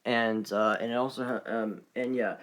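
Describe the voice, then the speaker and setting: A man presenting his collection in a casual, unscripted video, speaking haltingly and often trailing off. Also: on the low side, fairly even intonation, fairly bright tone. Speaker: collector presenting weather radios. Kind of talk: casual unscripted show-and-tell.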